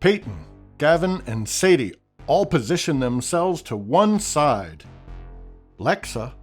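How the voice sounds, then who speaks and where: A man as a radio drama narrator with a middle-pitched, husky voice. He takes his time over the scene descriptions and moves widely in pitch. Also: big pitch swings, slow, soft background music, heavily acted. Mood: descriptive. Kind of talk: scripted radio play narration.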